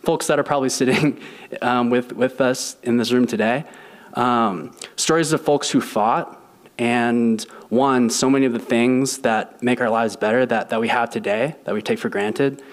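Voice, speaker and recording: A man in his twenties sharing a personal story at a church lectern, thoughtful and halting with frequent ums and restarts. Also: mid-range voice. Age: 20s. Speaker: guest speaker. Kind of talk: personal testimony at a service. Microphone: lectern mic.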